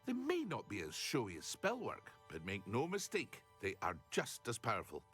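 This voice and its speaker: An enthusiastic British potion seller from a fantasy game. His intonation swings very widely at a middle pitch, and he savours each word theatrically. Music plays faintly behind him. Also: wildly swooping pitch, normal speed, fairly bright tone. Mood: eager, inviting. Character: chatty, enthusiastic potion shopkeeper, older man. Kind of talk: video game dialogue.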